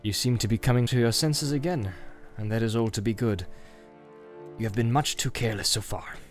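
A man voicing a grim, wary, secretive wandering ranger with a deliberate, cautioning and wry manner in an audiobook. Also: medium pitch, faint music behind, close to an everyday voice. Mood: grim, guarded.

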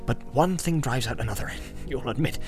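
A man's voice: medium pitch, a little fast, over music, close to an everyday voice. A man as a flustered, stammering village innkeeper. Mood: troubled, apologetic. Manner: hesitant, stammering, rambling. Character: flustered, apologetic, chatty male innkeeper.

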